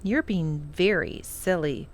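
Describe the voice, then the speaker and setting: A woman's voice: low-pitched, takes it slowly, voice pushed down. A woman voicing a sensible storybook mother, matter-of-fact and mildly scolding. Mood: disapproving.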